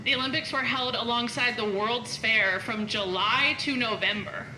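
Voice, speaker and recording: A woman's voice: fairly high pitch, normal speed. A woman co-hosting a live podcast, chatting casually and jokingly to her fellow hosts and the audience. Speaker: podcast co-host. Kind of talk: casual live-show banter. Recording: echoey, very noisy room with loud crowd.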